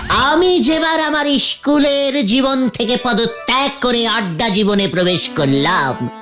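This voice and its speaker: A woman voicing a boastful male slacker who leads idle village boys in a cartoon, speaking pompously with stilted formal turns. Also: fairly high pitch. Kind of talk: exaggerated comic cartoon acting.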